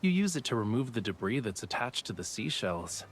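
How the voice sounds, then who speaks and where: A man's voice: on the low side, big pitch swings, a little fast, soft background music. An actor playing a playful, teasing young male artist of the sea folk in a game. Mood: relaxed, explaining. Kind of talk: game voice acting.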